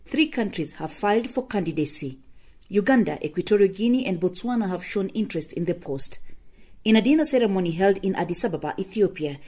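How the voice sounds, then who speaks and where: A woman radio correspondent delivering a measured news report. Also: mid-range voice, mellow.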